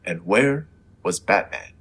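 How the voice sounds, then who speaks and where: Male actor as earnest superhero in reporter disguise, very low and husky, radio-serial straight man. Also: animated, slow, a bit bright, heavily acted. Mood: earnest, concerned. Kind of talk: old-time radio serial parody acting.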